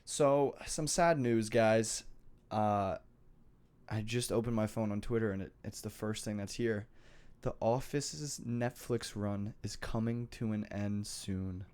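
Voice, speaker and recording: Young male podcast host, congested, slangy and rambling, low-pitched. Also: fairly even intonation, slow, a little mellow, clean tone. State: stuffy nose. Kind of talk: casual chat.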